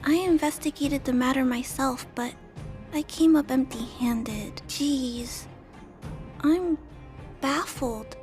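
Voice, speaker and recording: A woman voicing an earnest, gentle young girl in a video game, polite and hesitant as she plays detective. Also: high-pitched, slow pace. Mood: puzzled, earnest. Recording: game music behind the voice. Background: game background music.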